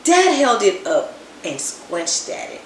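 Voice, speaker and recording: Animated woman reading a story, roomy echo. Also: mid-range voice, slow. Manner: animated, dramatic emphasis with hushed asides. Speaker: storybook reader. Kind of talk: expressive read-aloud of a children's story. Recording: roomy echo, distant mic, background noise.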